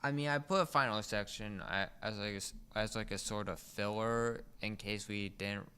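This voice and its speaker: A teenage boy hosting a podcast in casual chat, speaking haltingly with frequent restarts. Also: on the low side, fairly even intonation, bright, forceful tone, smooth. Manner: halting, restarts words often. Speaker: podcast host.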